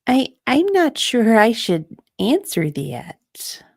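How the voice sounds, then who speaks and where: A woman voicing a nervous, smitten woman in a steamy romance drama, hesitant and breathy. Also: on the high side, big pitch swings, slow pace, dry recording, studio quality, pitched above the performer's own voice, full character voice. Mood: flustered.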